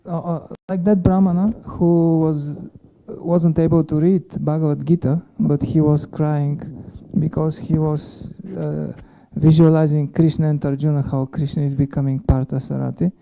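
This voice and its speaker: A man sharing a spontaneous devotional reflection as a class participant, halting and repeating his words. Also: a little high, flat delivery, creaky, highs cut off. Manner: halting, repetitive phrasing.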